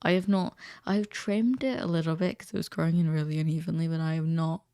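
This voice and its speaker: A teenage man chats casually in a recently deepened voice with a gravelly crackle. His tone is dark and relaxed, recorded dry and close. He rambles a little and interrupts himself as he goes. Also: fast, no echo. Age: teens. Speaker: vlogger. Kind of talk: casual chat to camera.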